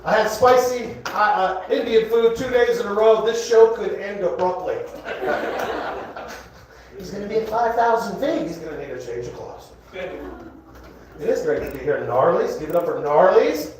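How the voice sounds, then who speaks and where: A man's voice: high-pitched, moderate pace, very noisy. Wired sixty-year-old man doing stand-up. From Florida. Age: 60s. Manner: wired, rambling, self-mocking crowd banter. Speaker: headlining stand-up comedian. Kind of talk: stand-up comedy set. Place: comedy club stage. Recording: echoey club from a distance with crowd. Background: audience laughing.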